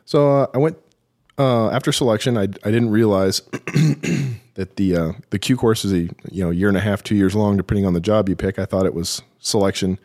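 A man being interviewed as a military veteran, telling his story haltingly with self-deprecating, dry humour. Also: fairly low pitch, a little mellow. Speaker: podcast guest, military veteran.

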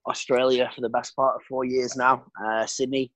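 A man's voice: medium pitch, level tone, moderate pace, a bit bright, no room sound, rough recording. Laid-back British man in his twenties. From Britain. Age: 20s. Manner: laughs often, swears casually. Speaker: podcast guest. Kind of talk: casual interview chat.

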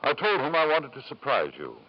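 Controlling husband, muffled old radio. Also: male voice, mid-range voice, wildly swooping pitch, brisk. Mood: cool, needling. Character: ruthless, possessive rich husband and doting father. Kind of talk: 1950s radio drama acting. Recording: dull, lo-fi 1950s radio recording.

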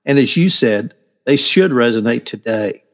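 A man's voice: medium pitch, expressive, moderate pace. A man in his sixties hosting a podcast interview and asking questions in a friendly, conversational way. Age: 60s. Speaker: podcast host.

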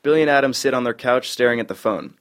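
Man reading stage directions, mid-pitched. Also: fast, fairly bright tone. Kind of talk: scripted narration at a table read.

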